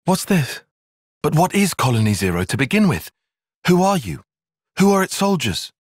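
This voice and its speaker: An actor playing an earnest, cerebral young soldier and tactician in a video game, with a British accent, articulate formal phrasing and a slightly husky voice. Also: male voice, a little high, big pitch swings, moderate pace, no echo, studio quality. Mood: indignant, questioning. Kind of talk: scripted video game cutscene acting.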